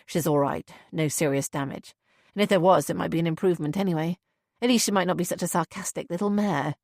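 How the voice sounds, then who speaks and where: A woman voicing a gruff, husky man. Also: on the low side, a little mellow, no echo, studio quality, pitched below the performer's own voice. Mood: teasing. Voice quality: husky, growling. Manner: blunt, sarcastic, quick to anger. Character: gruff protective adult man, teasing brother.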